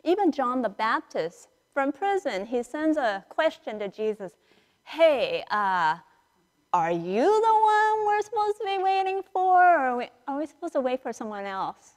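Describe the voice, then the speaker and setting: A middle-aged woman priest giving a conversational storytelling sermon in a large church, acting out dialogue with dramatic pauses. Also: sits high, very animated. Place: large church sanctuary, indoors, standing and moving before the altar. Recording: reverberant church hall, picked up in a large space.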